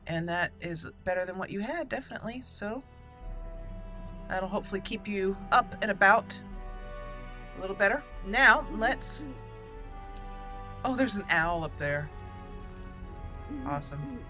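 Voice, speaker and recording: A woman's voice: medium pitch, animated, measured pace, long pauses, over music. A woman hosting a game playthrough with casual commentary, chatting and thinking aloud as she goes. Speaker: gaming channel host. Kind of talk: casual let's play commentary.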